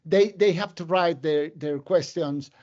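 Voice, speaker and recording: A man moderates an online talk, giving short directions in a halting way with fillers. His voice sits fairly high. The sound is muffled, like audio from a video call. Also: darkish tone.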